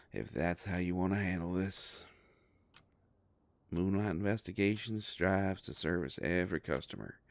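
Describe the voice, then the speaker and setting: A man acts out a gruff werewolf private eye in an audio drama. His voice is extremely low and full of gravel, and he takes his time with every word. He comes across as a protective, sardonic boss, menacing in a folksy tough-guy way. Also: no echo, full character voice. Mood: cold warning. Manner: slow, drawling, threatening, folksy tough-guy talk. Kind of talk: dramatic roleplay audio monologue.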